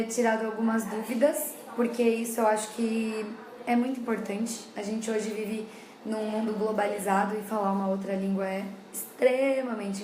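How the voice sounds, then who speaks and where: A woman's voice: fairly high pitch, a little mellow, slight background noise. A woman with a Brazilian Portuguese accent, an educator explaining child development to parents in a casual talk, often repeating herself. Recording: echoey room.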